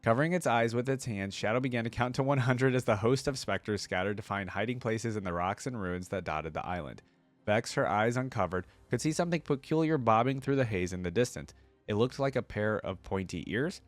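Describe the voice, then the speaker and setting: Guy with lowish voice doing story reaction stuff, reads then chats casually, dry sound. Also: fairly quick, no echo, faint music behind. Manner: informal, speculates aloud while reacting. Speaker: reaction video host and reader. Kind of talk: reading a story aloud with casual commentary.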